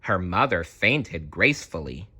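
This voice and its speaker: A man tells a children's story at an unhurried pace. His voice sits a little low with a bright, forceful edge, and his expressive reading moves through a wide range of pitch. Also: breathy edge. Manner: expressive, dramatic. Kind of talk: children's storybook read-aloud.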